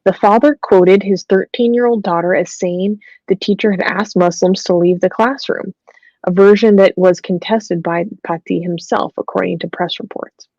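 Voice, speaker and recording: A woman co-hosting a talk show, reading news reports aloud with many pauses and ums, then adding her own comments. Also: mid-range voice, steady tone, a little mellow, no echo, dull audio.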